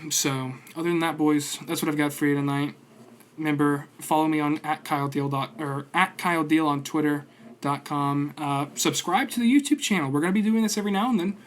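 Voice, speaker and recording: A man's voice: fairly high pitch, steady tone, brisk, a little hiss or hum. A man in his twenties giving casual, rambling stock commentary on his stream, full of fillers and restarts. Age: 20s.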